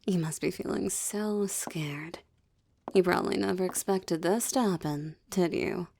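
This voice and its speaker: Woman playing a low, slow, seductive succubus demoness. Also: warm tone. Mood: gloating, delighted. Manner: teasing, haughty, theatrical, often dropping into breathy whispers. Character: seductive, gloating succubus demoness toying with a captive. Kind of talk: intimate roleplay audio drama monologue.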